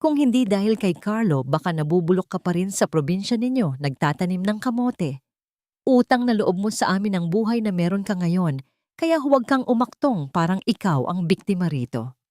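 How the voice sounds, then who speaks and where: A woman's voice: medium pitch, expressive, moderate pace, mellow, no room sound, clean studio sound, close to an everyday voice. A woman voicing a contemptuous, domineering older mother-in-law in an audio story, with a scornful, cutting manner. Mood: scornful, cold.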